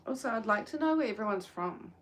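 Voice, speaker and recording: A woman's voice: a little high, animated, fast. A young woman with a New Zealand accent, chatting hesitantly through a crochet livestream while sitting outdoors in the sun. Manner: soft-spoken and hesitant, with frequent "um"s. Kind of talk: casual livestream chat.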